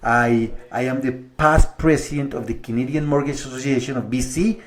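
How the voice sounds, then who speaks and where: A middle-aged man from Colombia, a mortgage broker talking viewers through figures step by step in a relaxed, conversational way. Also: medium pitch, moderate pace. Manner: conversational, walks through numbers step by step, slight restarts. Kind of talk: explanatory video monologue to viewers.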